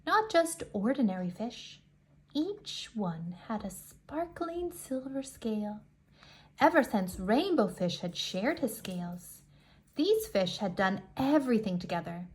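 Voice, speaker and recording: A woman reading a picture book aloud to young children in an expressive way, with deliberate dramatic emphasis. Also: mid-range voice.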